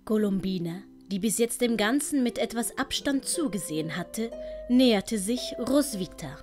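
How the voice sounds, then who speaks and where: A woman's voice: on the high side, animated, normal speed, over music. A woman reading a scripted story as audiobook narrator.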